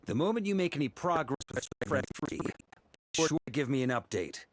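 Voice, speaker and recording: An actor playing a confident, genial knight commander in a fantasy video game, with a rallying, theatrical manner. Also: male voice, medium pitch, sing-song, brisk, close to an everyday voice. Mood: commanding. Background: game music.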